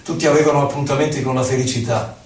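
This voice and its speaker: A man gives a solemn, theatrical poetry recital, declaiming in short phrases with pauses between them. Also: mid-range voice, fairly even intonation. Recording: echoey, distant, noisy live radio broadcast.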